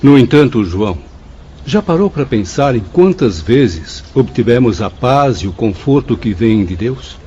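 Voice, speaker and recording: Male actor as steady devout friend, Brazilian accent, mellow, consoling, muffled noisy radio drama. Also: medium pitch, a little slow, close to an everyday voice. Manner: earnest, consoling. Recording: muffled lo-fi track, ambient noise.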